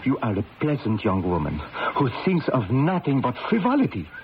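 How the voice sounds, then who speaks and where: An actor playing a stern, scolding emperor who lectures with oratorical reproach in an old-time radio drama. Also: male voice, mid-range voice, wildly swooping pitch, normal speed. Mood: stern, reproachful. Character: stern scolding Austrian emperor, disapproving older brother. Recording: dull lo-fi radio, faint noise.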